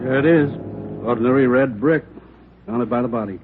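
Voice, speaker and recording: A man's voice: mid-range voice, fairly quick, phone-quality sound, over loud music, natural voice. An actor playing a methodical police lab man, clipped and matter-of-fact as he reports findings. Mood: dry, methodical. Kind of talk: 1950s radio drama dialogue. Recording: phone-thin vintage radio, faint noise.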